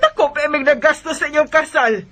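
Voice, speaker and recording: High-voiced, nagging, indignant mother. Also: fairly quick. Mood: indignant, scolding. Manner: nagging, indignant, sharp-tongued. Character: scolding, disapproving mother of the bride. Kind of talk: Filipino radio drama acting.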